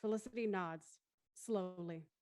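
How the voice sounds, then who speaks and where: A woman's voice: lowish voice, a little slow, darkish tone, no room sound, clean studio sound, natural voice. A woman acting as the intent narrator of an audio thriller, reading dramatic scripted narration. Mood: intent storytelling.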